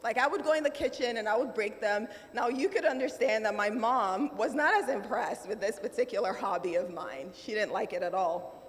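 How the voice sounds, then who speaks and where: A middle-aged woman with a Nigerian accent gives a motivational keynote speech, measured and emphatic, with dramatic pauses. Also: on the high side. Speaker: keynote speaker. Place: large conference hall. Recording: roomy hall reverb, faint room noise.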